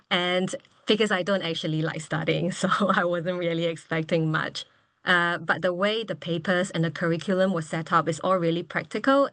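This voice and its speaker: A woman's voice: fairly low pitch, fairly bright tone, dry recording. Frank, upbeat woman from Singapore. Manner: frank, upbeat, laughs easily. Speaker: MBA graduate guest. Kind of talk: candid webinar testimonial.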